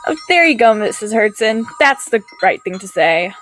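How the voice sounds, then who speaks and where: A woman giving casual reaction commentary as a video host, excitable and full of exclamations. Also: medium pitch, moderate pace, low-quality recording. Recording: music and show audio behind.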